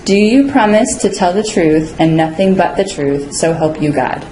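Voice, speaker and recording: A woman acting as an interview officer, asking brisk, clear questions and giving short approvals. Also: on the low side, expressive, mellow, a little hiss or hum. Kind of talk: scripted mock interview questioning. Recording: roomy echo with faint ambience.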